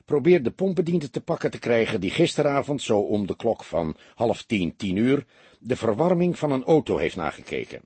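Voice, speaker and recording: A man voicing an impatient, commanding police detective in a storybook, firing off brisk orders and probing questions. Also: medium pitch, big pitch swings, darkish tone, breathy edge, no echo. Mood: businesslike, instructing. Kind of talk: audiobook character dialogue.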